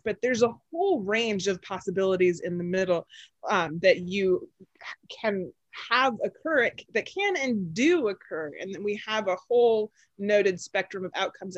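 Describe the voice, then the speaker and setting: A woman who calls her own voice low for a woman, talking in an animated, chatty way with plenty of fillers and laughs. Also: no echo. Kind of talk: casual podcast chat.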